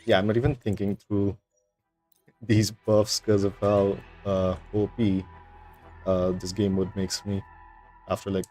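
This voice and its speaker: A man's voice: on the low side, little pitch movement, a little slow, pauses often, dark tone, low-quality recording. A man streaming games, giving casual, rambling, laid-back commentary on his play. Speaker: game streamer. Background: faint game music.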